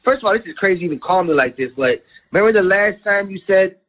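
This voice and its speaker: A man's voice: high voice, animated, fast talker, husky, narrow, tinny audio. A man playing a sheepish boyfriend making defensive, stammering excuses in a radio phone argument.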